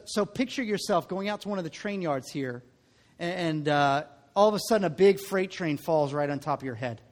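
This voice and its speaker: High-voiced man in his thirties preaching. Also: in a large hall. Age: 30s. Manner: conversational preaching, repeats words when starting phrases. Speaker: pastor. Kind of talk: sermon.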